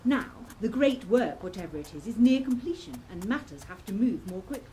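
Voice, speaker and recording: A woman's voice: a little high, lively intonation, brisk, dark tone, natural voice. A woman playing a clever, earnest young lady researcher in a steampunk radio play, speaking precisely. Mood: earnest, intent. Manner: precise, articulate, explanatory.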